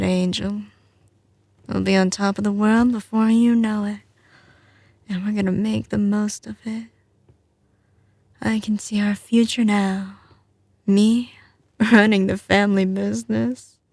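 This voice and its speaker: Woman voicing a doting mafia heiress girlfriend, slight Southern drawl, gravelly fry, tender and confident, close dry sound, intimate ASMR roleplay. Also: mid-range voice, darkish tone, dry recording. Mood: dreamy, confident. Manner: tender, slow and assured, often dropping to a whisper.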